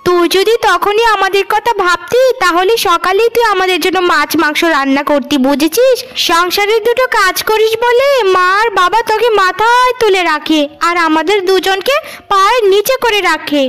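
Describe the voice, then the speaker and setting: Woman acting a shrill, spiteful eldest sister. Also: moderate pace. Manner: sharp, grumbling, accusing. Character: spiteful, complaining eldest daughter, young woman. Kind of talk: cartoon moral story voice acting.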